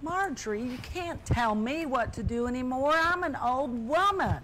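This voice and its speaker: A woman's voice: high voice, lively intonation, moderate pace, a bit bright, a little hiss or hum. A woman playing a stubborn, huffy older spinster sister in a comedic stage play, speaking with emphatic repetition. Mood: indignant, determined.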